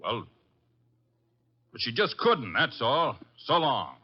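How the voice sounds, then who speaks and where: A man's voice: medium pitch, sing-song, slow, slightly rough, soft background music, full character voice. An actor playing a blunt, scornful tough guy in an old radio murder mystery, talking in hard-boiled slang. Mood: defensive. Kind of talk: old-time radio drama acting. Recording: muffled old radio recording.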